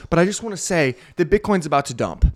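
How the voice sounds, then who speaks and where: A man's voice: a little high, animated. Hyped, nasal man explaining crypto charts. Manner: hyped, sarcastic, fast-talking. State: slightly sick, nasal. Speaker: crypto YouTuber. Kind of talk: excited trading chart walkthrough.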